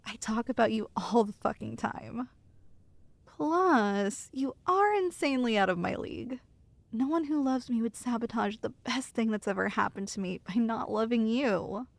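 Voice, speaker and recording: Woman acting as tender, teasing girlfriend, slightly creaky voice. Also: on the high side, expressive, normal speed, no room sound. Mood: tender reassuring. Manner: tender, playful, teasing, reassuring. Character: affectionate teasing girlfriend soothing her nervous partner. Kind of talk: ASMR roleplay, intimate acted monologue.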